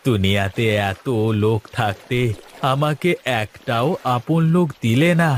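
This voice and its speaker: A man's voice: mid-range voice, animated, a little slow, slight background noise, lightly acted. A man playing a lonely, superstitious poor boatman in a melodramatic, exclamatory cartoon style, often repeating himself. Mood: anguished lament.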